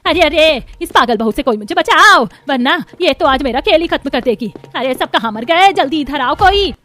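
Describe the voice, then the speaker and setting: A woman's voice: high voice, lively intonation, normal speed. A woman with an Indian accent voicing a scolding, easily flustered middle-aged mother-in-law in a family cartoon, dramatic and full of exclamations. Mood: alarmed, indignant. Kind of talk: animated moral-story voice acting.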